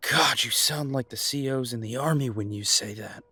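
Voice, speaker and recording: A man acts out an estranged werewolf son in an audio roleplay. His voice is mid-pitched and recorded very dry. He sounds guarded, hurt and weary, with biting sarcasm. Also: normal speed, pushed and bright, slightly rough, no room sound. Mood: sarcastic, defiant. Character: bitter, wounded adult werewolf son confronting his parents. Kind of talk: audio roleplay drama acting.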